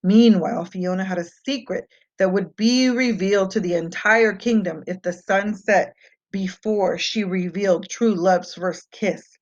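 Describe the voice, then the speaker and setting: A woman reads a scripted fairy tale for children. Her voice sits at a middle pitch and she stresses words expressively as a storyteller. The home recording is a bit dull and lo-fi. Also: measured pace. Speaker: storybook reader. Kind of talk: scripted children's read-aloud narration.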